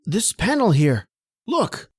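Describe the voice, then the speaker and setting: Woman playing an earnest husband with varied pitch. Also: sing-song, slow, darkish tone, husky, exaggerated voice. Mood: puzzled, intent. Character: protective, soothing young husband in horror tale. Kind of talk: old-time radio horror acting.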